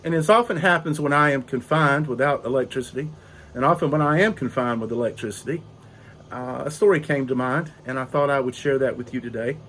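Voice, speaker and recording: An older man, raspy and hoarse from a cold, rambling slowly through a casual story on his porch. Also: medium pitch, a bit bright, slight background noise. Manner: slow, rambling, with frequent fillers. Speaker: pipe-smoking video host. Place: outdoor porch in drizzling rain.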